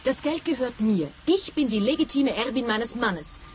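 An actress playing a bitter, entitled widow in a detective radio play, dramatic and threatening in manner. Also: female voice, a little high, lively intonation, normal speed, a little mellow, soft background music, close to an everyday voice. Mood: bitter, accusing.

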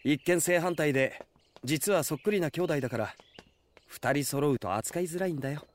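An actor playing a casual, confident, teasing husband in a Japanese audio drama. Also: male voice, a little high, normal speed, natural voice. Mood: easygoing, wry.

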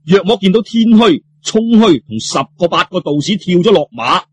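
Husky Cantonese male storyteller, lively pitch. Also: moderate pace, no echo. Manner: colloquial, interjecting, addresses listeners. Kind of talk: animated Cantonese audiobook storytelling of martial arts fiction.

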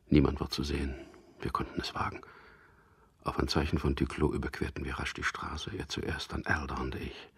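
An actor in a German radio drama playing a resolute man on a secret mission, narrating deliberately and introspectively in a gravelly, husky, hushed voice. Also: extremely low pitch, heavily acted. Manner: deliberate, introspective, recounting events.

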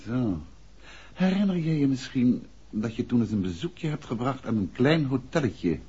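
An actor playing a composed, courteous but insistent detective in a 1960s Dutch radio drama. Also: male voice, medium pitch, sing-song, normal speed, warm tone, husky. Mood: calm, probing. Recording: muffled old radio recording, faint hiss.

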